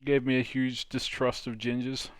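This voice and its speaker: A man's voice: mid-range voice, completely flat delivery, moderate pace, smooth, no room sound. A man hosting a live stream, chatting casually and joking with friends. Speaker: host of a live dubbing stream.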